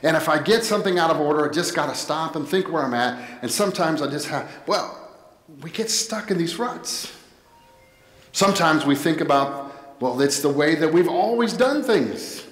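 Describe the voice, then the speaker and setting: A middle-aged American man giving a sermon as a pastor, speaking deliberately in short phrases with pauses. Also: on the high side, lively intonation, a bit bright. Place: indoor church, at a pulpit. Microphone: headset microphone. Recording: echoey church with long reverb.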